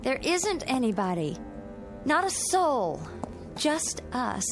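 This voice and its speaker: An actress playing a nervous wife in a vintage radio drama, expressive and uneasy. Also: female voice, high voice, slow, music in the background, heavily acted. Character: jittery wife uneasy in a strange town.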